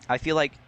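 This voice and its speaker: A man giving casual play-by-play commentary as host of a gaming video, his voice slightly hoarse. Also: fairly bright tone.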